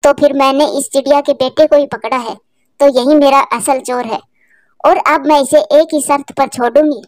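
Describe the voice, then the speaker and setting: A woman's voice: high-pitched, steady tone, normal speed, darkish tone, dry recording, clean studio sound, close to an everyday voice. A woman voicing a stern, unforgiving female eagle who commands and threatens in a cartoon.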